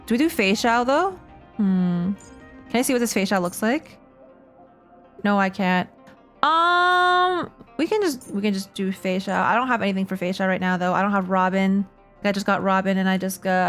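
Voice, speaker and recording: A woman's voice: mid-range voice, moderate pace, fairly bright tone. Casual woman game streamer, music behind. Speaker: streamer playing and reacting to a game. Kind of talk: casual live gaming chat, thinking aloud.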